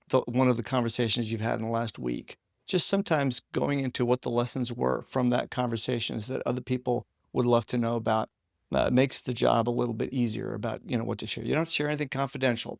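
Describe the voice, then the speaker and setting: A man with a creaky, gravelly voice hosts a casual podcast interview. He talks conversationally at a medium pitch, and the sound is dry and close. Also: no room sound. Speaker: podcast host interviewing a guest.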